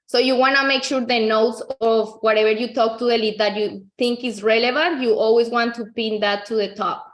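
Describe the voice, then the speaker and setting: A woman from Colombia, a native Spanish speaker with a Colombian accent, giving an informal software tutorial in a conversational, explanatory way, often repeating herself. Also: fairly high pitch, fairly even intonation, moderate pace. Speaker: real estate agent giving a software tutorial. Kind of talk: informal screen-share tutorial.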